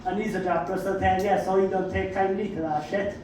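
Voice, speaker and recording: A man's voice: high voice, steady tone, fairly quick, noisy background. A man with a South Welsh accent doing stand-up, telling brash, sweary, self-mocking stories with punchy raised punchlines. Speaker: stand-up comedian. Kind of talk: stand-up comedy storytelling. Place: comedy club stage. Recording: echoey club recorded from a distance.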